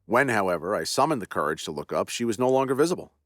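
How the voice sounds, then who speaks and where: Shaken proud general, formal and earnest; low voice, dry close sound, read by a man. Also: sing-song, quick pace, dry recording. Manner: earnest, formal period speech. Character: shaken proud male army general confessing terror.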